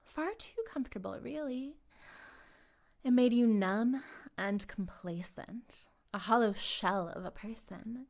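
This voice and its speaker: A woman playing a possessive, self-righteous cult leader in an intimate audio roleplay, cooing and condescending, sometimes breathy, speaking directly to the listener. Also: on the high side, slow, a little mellow. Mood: condescending, persuasive. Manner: theatrical, cooing, condescending, drifting into breathy whispers.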